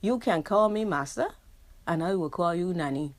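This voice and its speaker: A woman's voice: fairly low pitch, expressive, normal speed, no room sound. A middle-aged woman with a Barbadian accent, a poet reading her dialect poems with rhythm and then talking about her book as a radio guest. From Barbadian family heritage, living in England. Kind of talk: poetry reading and radio interview.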